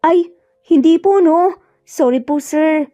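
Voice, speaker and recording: A woman's voice: extremely high pitch, slow, mellow, lo-fi sound, soft background music, pitched above the performer's own voice. A woman voicing a flustered, embarrassed young housemaid in a story, polite and stammering.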